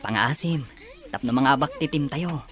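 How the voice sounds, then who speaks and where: A woman acts out an earnest older male schoolmate for an audio drama. The voice sits low for a woman, with a coaxing, flattering manner meant to persuade. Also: normal speed, slight background noise. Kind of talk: Ilocano audio drama acting.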